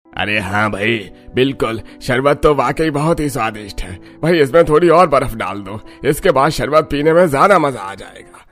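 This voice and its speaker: A man's voice: mid-range voice, animated, normal speed, fairly bright tone, faint music behind, natural voice. A man voicing hearty, plain-spoken older village men in a cartoon story, with emphatic delivery. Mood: pleased, hearty. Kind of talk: Hindi cartoon story dialogue.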